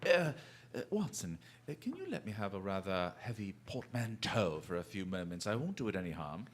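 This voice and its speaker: Radio drama lead: urbane, self-assured English detective, lowish register, very lively intonation, theatrical and amused. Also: male voice, faint music behind. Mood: genial, sly. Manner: urbane, theatrical, drawn-out courteous phrasing.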